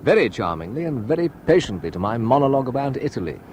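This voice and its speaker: A charming young man from London woos his guide with gentle, earnest words, voiced by an actor. His voice sits in a middle register and moves expressively. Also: medium pitch, very animated, fast, a little hiss or hum, lightly acted. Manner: charming, wooing. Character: romantic young English visitor. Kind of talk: 1960s radio drama acting.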